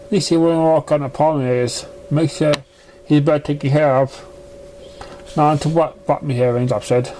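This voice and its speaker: A man with a slightly high, soft-edged mellow voice reads fiction aloud in a halting, stumbling way. It is an amateur recording with noticeable background noise. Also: fairly even intonation, poor audio. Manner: stumbling, halting reading with misread words. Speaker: amateur fan fiction reader. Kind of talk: reading a story aloud.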